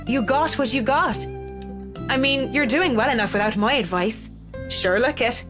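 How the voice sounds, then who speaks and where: A woman's voice: on the high side, moderate pace, lightly acted. An actress playing an earnest, plain-spoken young woman in a visual novel game. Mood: earnest, awkward. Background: game music.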